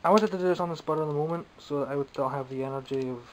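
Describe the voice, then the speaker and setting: A man's voice: a little high, very fast, slight background noise, low-quality recording. Wry Scottish man rambling about toys. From Scotland. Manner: wry, rambling and self-mocking. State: phlegmy, coughing. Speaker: toy collector vlogger. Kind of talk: casual unscripted toy haul chat. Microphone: camera mic, speaking from behind the camera.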